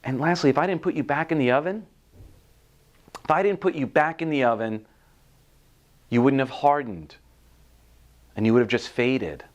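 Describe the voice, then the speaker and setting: A man playing a calm, firm master potter in a parable, speaking patiently. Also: mid-range voice, muffled recording, close to an everyday voice. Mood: earnest, reassuring. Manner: patient, measured, explanatory. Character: calm, stern but loving master potter.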